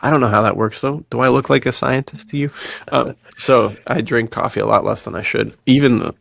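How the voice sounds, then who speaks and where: A man's voice: mid-range voice, fairly quick, gravelly, dry recording, clean studio sound. A man co-hosting a podcast in casual chat, telling slangy personal anecdotes with quoted bits of dialogue. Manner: slangy, animated storytelling with quoted dialogue and frequent laughter. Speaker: podcast co-host.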